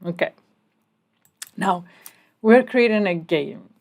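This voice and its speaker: A woman's voice: medium pitch, animated, takes it slowly, darkish tone. A woman from Spain giving a casual step-by-step coding tutorial as she types. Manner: explains step by step while typing, casual asides. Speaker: programming instructor.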